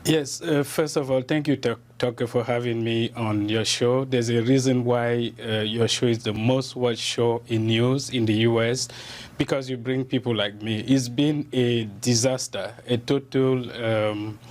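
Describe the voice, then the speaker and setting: A man's voice: medium pitch, fairly even intonation, unhurried, a little mellow. Indignant man with a Cameroonian French accent. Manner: earnest, indignant, with fillers and repeated starts. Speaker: journalist guest. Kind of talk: TV interview.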